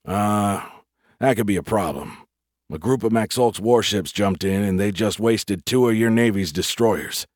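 A man's voice: lowish voice, a little slow, dry recording. A breezy artificial intelligence, acted by a male narrator, chatty and frank. It talks in slang, in a dry, close audiobook recording. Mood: matter-of-fact warning. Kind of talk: audiobook character voice.

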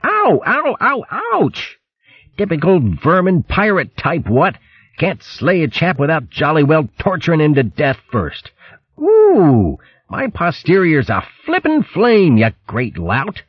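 A man's voice: a little high, huge pitch swings, takes it slowly, husky, no room sound, dull audio, voice pushed up, full character voice. A man voicing a ragged, indignant hare in a storybook, blustering theatrically in old-fashioned upper-class slang. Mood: pained, indignant. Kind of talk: audiobook character voice.

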